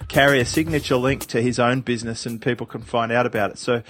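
A man hosts a casual podcast chat in an upbeat, chatty way. His voice sits at a middle pitch, and faint music plays behind him. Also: fairly even intonation, quick pace, a bit bright. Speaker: podcast host.

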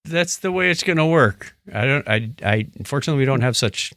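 A man's voice: mid-range voice, expressive, brisk, fairly bright tone, no room sound, studio quality. A man co-hosting a casual podcast about news stories, chatting in a joking manner.

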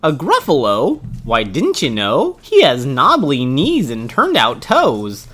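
A man's voice: mid-range voice, wildly swooping pitch, slow, fairly bright tone, heavily acted. A father reading aloud to his toddler, voicing a small, clever, brave mouse in a cheeky sing-song tone.

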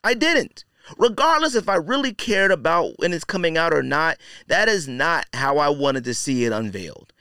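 Clear-voiced man giving emphatic podcast commentary. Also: a little high, bright, forceful tone, no room sound, clean studio sound. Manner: emphatic, repeats phrases for stress; colloquial, slangy phrasing. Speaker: podcast host. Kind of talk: casual opinion commentary on sneakers.

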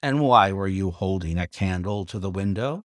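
A man's voice: deep voice, expressive, moderate pace, no room sound, studio quality. A man doing a stern, commanding baronet.

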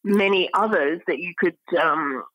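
A woman speaking as an academic expert in an interview, explaining in an articulate way with pauses mid-phrase. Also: fairly low pitch, no room sound, highs cut off.

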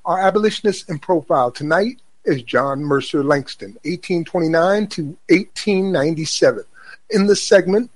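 A man hosting a radio program, reading a scripted introduction in a measured, formal announcing manner. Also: high voice, no room sound. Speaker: radio host.

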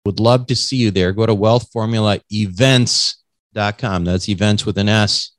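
Brief: man from Minnesota, podcast host, lowish voice, dry close recording, relaxed delivery. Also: normal speed, no echo. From Minnesota, USA. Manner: relaxed, with frequent "uh" fillers. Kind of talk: casual podcast hosting.

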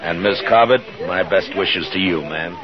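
A man's voice: deep voice, moderate pace, over a noisy crowd, lightly acted, low-quality recording. An American man playing a stern but kindly frontier marshal in a 1950s radio Western, speaking with plain authority. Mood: friendly, calm. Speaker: lead actor and narrator. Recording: 1950s radio drama, music behind.

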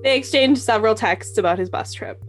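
A woman's voice: on the high side, fairly quick. A woman narrating from a script with wry, sardonic asides. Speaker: narrator and commentator. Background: music.

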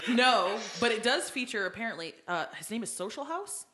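A woman's voice: on the high side, sing-song, brisk, a crowd in the background. A woman co-hosting a casual podcast chat, wry and steering the talk from topic to topic. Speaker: podcast host.

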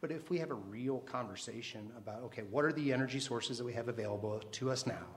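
A man on a panel discussion who answers in a measured, reflective way. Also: medium pitch.

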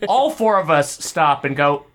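Animated podcast co-host retelling a film. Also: medium pitch, takes it slowly. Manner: acts out characters' lines while recounting.

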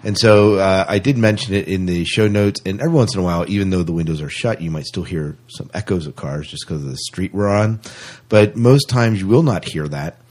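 A man's voice: deep voice, brisk, few pauses, darkish tone. A man co-hosting a podcast in casual chat, with a joking, teasing manner. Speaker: podcast co-host.

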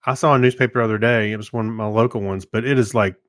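A man's voice: on the low side, steady tone, very fast, no echo, clean studio sound. A middle-aged man co-hosting a podcast, chatting casually and telling stories from everyday life. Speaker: podcast co-host. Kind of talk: casual chat with storytelling.

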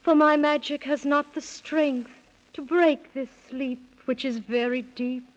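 A gentle fairy queen, speaks slowly and solemnly in rhyme with a fairly high voice. It is stately 1930s children's radio acting on a muffled old recording. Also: fairly even intonation. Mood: sorrowful, solemn. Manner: stately, measured rhyming speech.

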